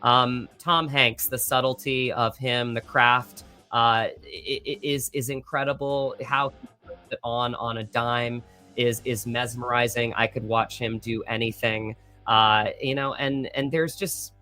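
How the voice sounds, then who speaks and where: A man's voice: medium pitch, fairly even intonation, a little slow, pushed and bright, soft background music. A man speaking as an actor guest in a casual interview, earnest and reflective, often searching for words.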